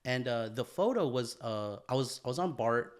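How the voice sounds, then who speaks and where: A man at an ordinary middle pitch, chatting casually on a podcast. He rambles and restarts often, leaning on fillers, and rushes ahead when a point excites him. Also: clean tone. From San Francisco Bay Area. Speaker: podcast co-host, photographer. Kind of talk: casual chat.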